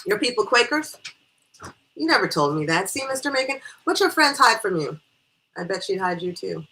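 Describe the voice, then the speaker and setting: A woman voicing a chatty, excitable, nosy female schoolteacher from a small town in a storybook reading. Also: mid-range voice, normal speed, slight background noise, pitched above the performer's own voice, rough recording. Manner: gushing, gossipy, stammers when flustered.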